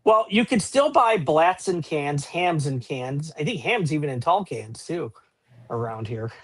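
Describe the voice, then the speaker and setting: A man's voice: on the high side, big pitch swings, a little slow, no echo. A man co-hosting a podcast in casual, conversational chat. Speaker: podcast co-host.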